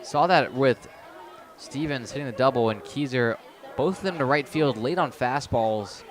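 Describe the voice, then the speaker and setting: A man's voice: mid-range voice, moderate pace. Male baseball analyst over faint crowd. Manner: conversational, explanatory. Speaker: baseball color commentator. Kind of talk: live radio sports analysis.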